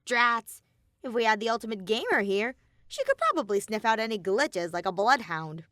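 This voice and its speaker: A bear mascot villain from an audio story, high-pitched and theatrical. The delivery is gleeful and flippant, with big animated swoops and a callous cheer. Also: female voice, moderate pace, dry recording, clean studio sound. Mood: mock disappointment.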